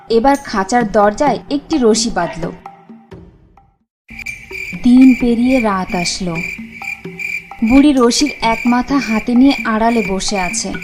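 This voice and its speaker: Woman storyteller reading a kids cartoon tale, highish voice, music clearly playing behind. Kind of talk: scripted children's story narration.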